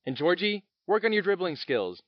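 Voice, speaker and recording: A strict basketball coach, played by a man, giving sharp orders in a slightly high voice. The recording is dry and muffled. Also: big pitch swings, moderate pace, no room sound. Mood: stern. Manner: stern, barking orders. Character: stern male basketball coach.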